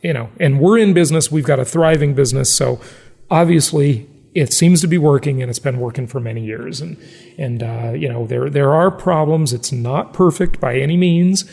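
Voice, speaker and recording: A man's voice: fairly high pitch, normal speed, creaky, darkish tone, husky, in a large hall. An American man hosting a podcast in a conversational, salesy way, repeating phrases for emphasis. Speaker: podcast host, real estate investing.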